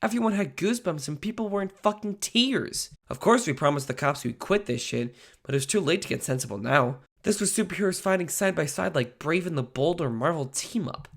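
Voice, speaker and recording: A young man playing an earnest, excitable teenage amateur superhero in a comic dub, with some self-deprecation. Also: fairly high pitch, brisk. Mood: wry, excited narration. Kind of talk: comic book dub acting, first-person narration.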